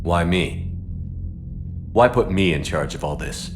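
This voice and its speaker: Low-voiced, steady military space commander. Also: male voice, measured pace, background noise. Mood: earnest, questioning. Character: calm, dry, resolute male military space commander. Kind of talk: video game cutscene dialogue.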